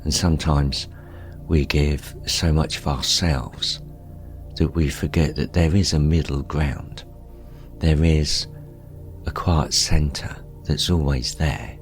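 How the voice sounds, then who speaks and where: Male meditation guide, extremely low voice, calm and gentle delivery over background music. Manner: calm, gentle, unhurried with pauses. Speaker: meditation guide, show host.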